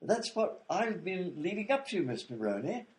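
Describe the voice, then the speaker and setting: A fussy, long-winded inventor doctor, played by a man, explains everything at length in a comedy radio play. His fairly high voice leaps around in a very animated way. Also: moderate pace. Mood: explaining. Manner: explains at length with fussy fillers like "you see".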